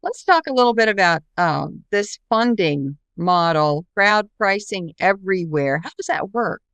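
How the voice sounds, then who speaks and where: A woman's voice: medium pitch, animated, measured pace, a bit bright, smooth, no room sound. A middle-aged woman hosting an interview in a conversational style. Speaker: interview host, author.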